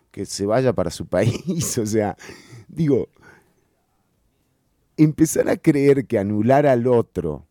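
Radio host, male; slow, emphatic opinion delivery, dry studio sound. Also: mid-range voice, dark tone, no echo. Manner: emphatic, repeats key phrases for stress. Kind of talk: political opinion commentary.

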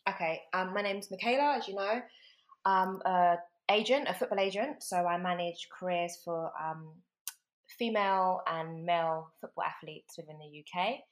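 A young London woman with a London accent and a lowish voice, chatting as an interview guest. She tells stories in a lively, animated way, full of fillers and quoted thoughts, recorded over a remote call. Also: moderate pace. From London, England. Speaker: football agent, guest. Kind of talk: casual storytelling interview.

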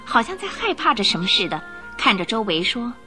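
A woman narrates a radio drama in a bright, forceful voice. Her intonation is highly animated and dramatic. Faint music runs behind her. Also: brisk.

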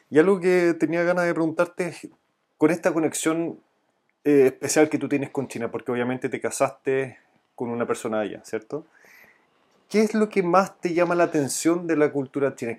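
Male interviewer, medium-pitched voice. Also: a little mellow. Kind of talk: casual interview conversation.

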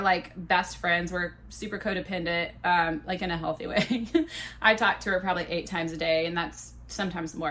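A woman in her thirties hosts her own podcast with a big, bright, forward voice. She talks in a loose, chatty way, full of quoted back-and-forth and casual swearing. Her tone stays self-mocking and frank. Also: lowish voice. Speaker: podcast host. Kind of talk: casual solo podcast storytelling.

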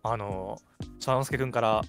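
二十代前半の男性がラジオの司会として、自虐も交えて掛け合いながら気軽に雑談している。. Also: male voice, fairly low pitch, moderate pace, soft background music. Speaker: radio show host. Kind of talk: casual chat.

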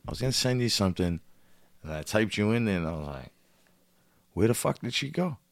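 A podcast host with a deep, low voice talks in a blunt, casual way and swears freely. His sound is dry and close, with no room echo. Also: a little fast. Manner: blunt, swears a lot, animated. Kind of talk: casual profane chat.

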